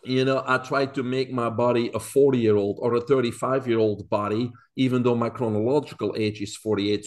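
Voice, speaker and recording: A man in his forties with a Belgian accent speaks as an interview guest. His voice sits a little low, and he explains things earnestly, padding his talk with fillers. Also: a little mellow. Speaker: podcast guest.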